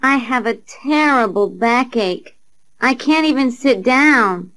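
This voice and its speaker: A woman's voice: fairly high pitch, expressive, takes it slowly, bright, forceful tone, a little hiss or hum. A woman reading a scripted learner dialogue as a patient, plain and polite.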